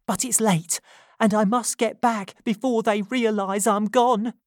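A woman's voice: on the high side, steady tone, unhurried, no room sound, studio quality, pitched above the performer's own voice, lightly acted. A woman voicing a frightened young woman who speaks in an urgent, pressing way in a storybook reading.

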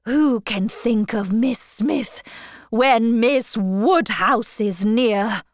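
Woman voicing an ardent, emphatic gentleman suitor. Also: high voice, expressive, slow, vocal fry, no echo, clean studio sound, heavily acted. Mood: ardent, pleading. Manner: gushing, emphatic, formal. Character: ardent, proud young gentleman suitor, pleading then indignant. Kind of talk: audiobook character dialogue.

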